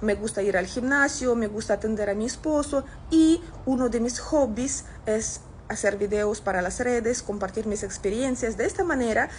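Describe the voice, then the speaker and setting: A woman's voice: a little high, normal speed, hardly any pauses, slight background noise. A woman in her thirties from Russia, with a Russian accent, chatting casually to camera as she replies to comments. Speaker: social media content creator. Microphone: phone at arm's length.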